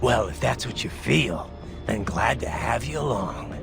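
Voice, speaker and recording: An actor playing a grim, sardonic, battle-haunted cyborg soldier in a video game, terse and gravelly. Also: male voice, medium pitch, animated, measured pace, loud music behind the voice. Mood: wry, resigned. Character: brooding male cyborg soldier, tough, sardonic, haunted by violent past. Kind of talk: video game cutscene acting.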